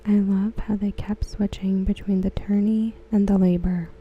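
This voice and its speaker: A woman softly reading aloud for ASMR in a breathy near-whisper, gentle and unhurried. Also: mid-range voice, little pitch movement, highs cut off. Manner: hushed, gentle, unhurried delivery. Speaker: ASMR creator. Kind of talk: soft-spoken ASMR read-aloud of written comments.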